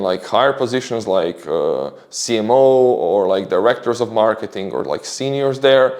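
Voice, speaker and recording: Man giving upbeat career tips. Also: medium pitch. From Croatia. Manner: upbeat, explanatory, enthusiastic. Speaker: creator giving career advice. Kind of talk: casual advice monologue.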